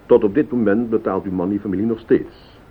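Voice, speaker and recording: Male actor as radio-play private investigator: deepish, quick, formal, composed, methodical questioner. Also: steady tone, close to an everyday voice. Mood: grave, explaining. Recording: tinny old radio recording, hiss, rumble.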